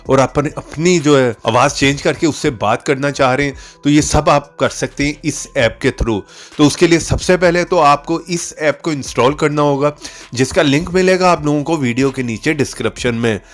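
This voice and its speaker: A man giving a casual step-by-step app tutorial voice-over, often checking in as he instructs. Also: mid-range voice. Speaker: tutorial channel host. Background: background music.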